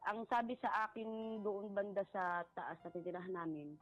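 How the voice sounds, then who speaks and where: A middle-aged woman, giving a personal account in a polite, halting way and often repeating herself. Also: medium pitch. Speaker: caller seeking advice. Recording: muffled lo-fi voice over background music.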